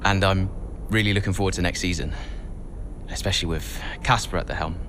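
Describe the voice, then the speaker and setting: A man's voice: low-pitched, a little fast, background noise, natural voice. An actor playing an uneasy male racing driver, speaking hesitantly and awkwardly in a game cutscene.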